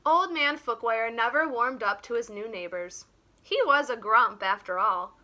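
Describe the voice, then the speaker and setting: A woman reading a children's picture book aloud like a teacher, in an expressive, deliberate way. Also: a little high, slight background noise. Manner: animated, deliberate.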